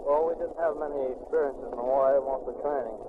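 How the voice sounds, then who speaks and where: Brief: young Montana Marine, plain and modest, tinny hissy 1940s radio from a ship. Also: male voice, medium pitch, steady tone, fast talker. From Montana, USA. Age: young adult. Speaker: Marine interviewee. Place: aboard a navy landing ship.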